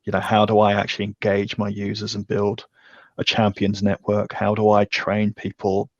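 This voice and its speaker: A man's voice: fairly low pitch, completely flat delivery, moderate pace, no room sound, lo-fi sound. A man explaining technical subjects conversationally as an interview guest, talking in a discursive way. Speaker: podcast guest, technical architect.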